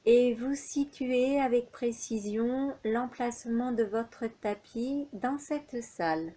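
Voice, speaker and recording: A woman with a fairly high, soft-edged and mellow voice guides a relaxation meditation. She speaks extremely slowly, in short measured instructions separated by pauses, as a yoga nidra teacher does. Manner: unhurried, measured phrases with pauses.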